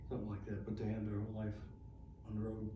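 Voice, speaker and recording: A man's voice: on the low side, completely flat delivery, very fast, dull audio. Man, middle-aged, chatty storyteller, roomy echo, background noise. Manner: chatty, laughs often, restarts mid-phrase. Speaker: interviewee, military veteran. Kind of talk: casual storytelling interview. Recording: echoey room, distant mic, noisy.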